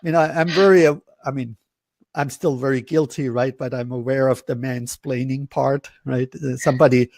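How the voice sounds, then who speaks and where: A man from Germany hosts a webinar over a video call, drifting through rambling thoughts and breaking off mid-sentence. His voice is gravelly and husky, and the sound is close and dry. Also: mid-range voice, steady tone, moderate pace, darkish tone, no room sound. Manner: rambling, self-interrupting, chuckles. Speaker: host. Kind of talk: casual webinar chat.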